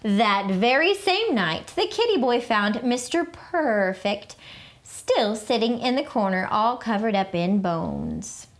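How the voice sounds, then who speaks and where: A woman reads a picture book aloud in a slow, deliberate storytelling voice. Her pitch sits slightly high and swings widely, giving every line a theatrical lift. The delivery is made for young listeners. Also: expressive. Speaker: children's book reader.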